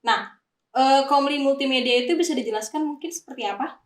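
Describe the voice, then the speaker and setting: Casting: female podcast host, informal and chatty, higher-pitched voice, echoey room. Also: brisk. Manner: informal, chatty, frequently echoing the guest. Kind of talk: casual chat interview.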